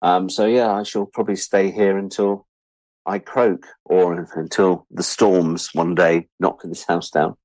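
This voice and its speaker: A man's voice: low voice, little pitch movement, measured pace, a little mellow, dry recording, rough recording. A British man of about sixty chatting casually and reflectively as an interview guest, candid and wry, repeating words for emphasis. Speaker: interview guest, freelance media producer.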